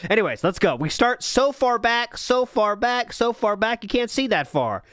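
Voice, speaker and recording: A man's voice: very high voice, very animated, normal speed, a bit bright, no room sound, clean studio sound. A man hosting a podcast, giving sarcastic, mocking commentary on old posts and live chat and laughing often. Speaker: podcast host.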